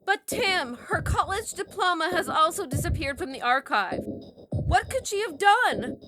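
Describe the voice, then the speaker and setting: A woman's voice: very high voice, sing-song, a little slow, bright, forceful tone, full character voice, rough recording. A woman playing an anxious, controlling mother in a dramatized story reading. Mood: upset, indignant. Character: anxious, controlling mother, distressed over her daughter. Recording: music under the voice.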